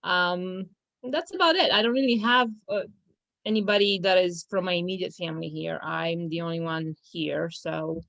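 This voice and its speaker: A young woman from Romania speaks in a casual interview, her voice sitting on the low side. She hesitates often, restarting phrases and filling gaps with ums. The sound is dry and close. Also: moderate pace, vocal fry, a bit bright, no room sound, rough recording. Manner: hesitant, many fillers and restarts, laughs easily. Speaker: law firm business manager.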